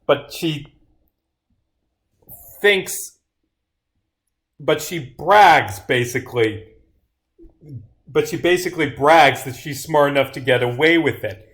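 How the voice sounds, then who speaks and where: A man's voice: a little high, takes it slowly, pauses often, a bit bright. A man livestreaming as a game developer, reading his own script aloud theatrically and dropping into muttered asides. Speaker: streamer and visual novel developer.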